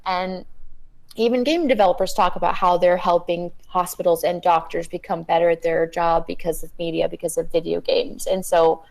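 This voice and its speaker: A woman in her thirties, a guest on a panel chat, talking earnestly with halting starts and repeated words. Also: fairly low pitch, steady tone, vocal fry, a little mellow, poor audio.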